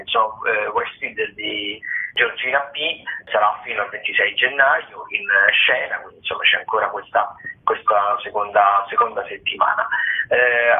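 Rambling man on a tinny phone. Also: lowish voice. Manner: hesitant, improvised, rambling. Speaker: theatre critic, radio contributor. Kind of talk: informal spoken listings review. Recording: thin, narrow phone line.

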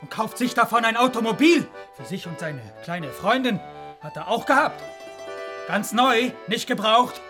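An actor in a German radio play playing a blunt, vengeful, hot-tempered small-town gossip. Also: male voice, extremely high pitch, animated, normal speed, over music. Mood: agitated, gossiping. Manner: blunt, agitated.

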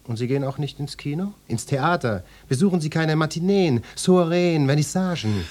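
An actor playing a pompous, self-important police detective in a German radio play, speaking in a deliberate, interrogating manner. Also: male voice, on the high side, lively intonation, darkish tone. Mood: probing.

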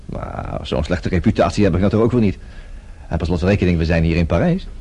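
An actor playing a flirtatious, persistent investigator in a radio play, with a husky voice and a teasing, smooth-talking manner. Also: male voice, deep voice, quick pace, warm tone, lo-fi sound. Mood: coaxing, flirtatious. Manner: teasing, smooth-talking, hesitant little interjections. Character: flirtatious, persistent male private investigator Bill Summers.

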